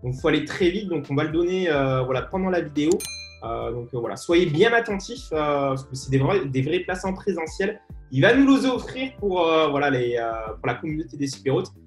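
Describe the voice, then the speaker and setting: A man's voice: a little high, animated, fairly quick, roomy sound, soft background music. A man hosting an interview, asking long questions with many fillers and hesitations. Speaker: host.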